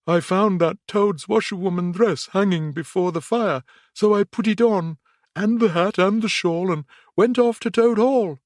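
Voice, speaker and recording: A man's voice: sits high, unhurried, a little mellow, dry recording, clean studio sound, voice pushed up. A man doing a gleeful, chatty mole. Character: cheerful mischievous male mole.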